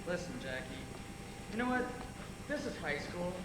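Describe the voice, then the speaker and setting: Teen guy acting chill joker boy in a school play, far from mic, loud background noise. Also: high-pitched, very animated, normal speed. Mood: wry. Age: teens. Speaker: high school student actor. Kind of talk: amateur stage play acting. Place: theatre stage, indoors. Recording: echoey stage, distant, loud noise.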